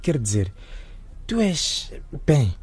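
Radio drama: awkward, stressed teen boy, low husky voice, mellow and polite. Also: big pitch swings, slow, exaggerated voice. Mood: hesitant, uneasy. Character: hesitant, stressed teenage boy seeking counseling.

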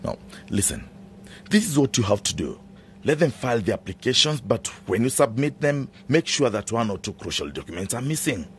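An actor playing a menacing, scheming farm owner in a radio drama, domineering and theatrical, wheedling one moment and threatening the next. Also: male voice, medium pitch, sing-song, brisk, slight background noise, natural voice. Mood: conspiratorial, coaxing.

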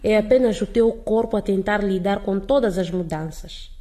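A woman's voice: medium pitch, moderate pace, mellow, lightly acted. A woman acting in a radio drama as a kindly, frank headmistress who explains things in a reassuring, instructive way. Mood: explaining.

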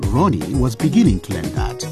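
A man narrates a radio drama, reading from a script. His delivery is lively and varied in pitch, with clear music playing underneath. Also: brisk.

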